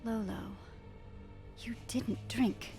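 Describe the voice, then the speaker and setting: A woman voicing an earnest, grave female senator in an animated series, speaking in a measured, deliberate way. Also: fairly high pitch, faint music behind.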